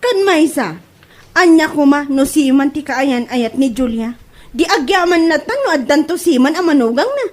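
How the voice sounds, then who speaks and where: Woman acting an indignant young daughter, high and animated. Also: moderate pace. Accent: Ilocano. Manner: indignant, complaining, emphatic. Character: indignant young adult daughter, defensive of her boyfriend. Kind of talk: Ilocano comedy drama acting.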